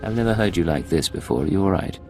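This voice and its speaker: A man's voice: sits low, expressive, fast talker, darkish tone. A man playing a cool, unflappable Imperial secret agent in a sci-fi game, with clipped, composed delivery. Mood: cool, resolute. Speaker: player character in a sci-fi game. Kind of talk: dramatic game voice acting. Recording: dramatic music behind.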